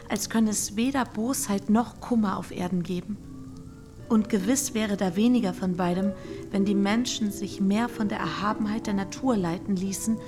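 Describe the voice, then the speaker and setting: Radio drama role: dreamy, earnest young woman, played by an actress, mid-pitched, music clearly behind. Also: close to an everyday voice. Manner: earnest, rapturous. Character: Fanny, gentle, dreamy, nature-loving young woman. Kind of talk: German radio drama acting.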